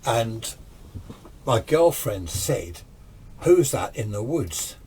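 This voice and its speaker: A man's voice: mid-range voice, sing-song, drawn-out words, darkish tone, breathy edge. A British man telling a personal story informally and conversationally, acting out what each person said. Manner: conversational, acts out reported dialogue.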